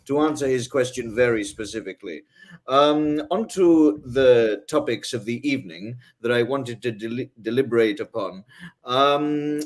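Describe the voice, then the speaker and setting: A man's voice: mid-range voice, moderate pace. A man with an English accent, talking as a guest in a casual interview, haughty and rambling, full of ums and rhetorical "right?". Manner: haughty airs. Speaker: guest author.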